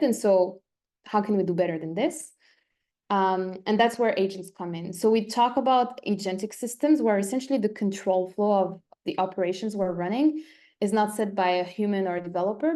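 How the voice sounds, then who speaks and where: Casting: female webinar presenter, mellow relaxed tone, mid-range pitch, methodical step-by-step explainer. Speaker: company presenter in a technical webinar.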